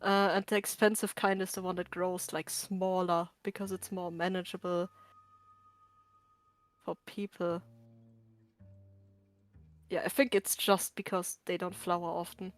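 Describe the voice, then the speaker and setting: A woman's voice: lowish voice, little pitch movement, measured pace, long pauses, soft background music. Woman chatting casually over a game stream. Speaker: co-commentator on a game livestream. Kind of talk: casual game commentary chat.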